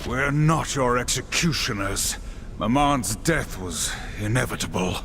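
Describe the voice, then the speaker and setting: A man's voice: medium pitch, lively intonation, a little slow, a bit bright, a little hiss or hum. An actor playing a stern, solemn horseman warrior in a video game, speaking in a clipped, commanding way. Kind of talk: dramatic video game cutscene acting.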